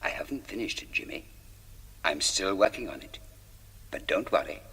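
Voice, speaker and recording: An actor playing the taunting ghost of a dead poet in a radio mystery, husky and slow, with an intimate, eerie delivery. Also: male voice, low-pitched, expressive, pushed and bright, heavily acted. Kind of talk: radio mystery drama, eerie verse recital. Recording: long cavernous reverb.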